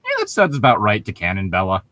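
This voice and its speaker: A man's voice: fairly low pitch, huge pitch swings, fast talker, a bit bright, dry recording. A man hosting a casual comedy read-along, bantering and reading aloud in a wry, joking, conversational way. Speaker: podcast host reading fan fiction aloud.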